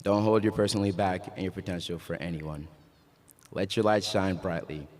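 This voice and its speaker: A man's voice: sits low, fairly even intonation, brisk, a little mellow, in a large hall. A young man from Ghana giving a graduation speech, casual and joking, then earnest and uplifting. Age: young adult. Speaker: graduation speaker. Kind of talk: commencement speech. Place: large hall.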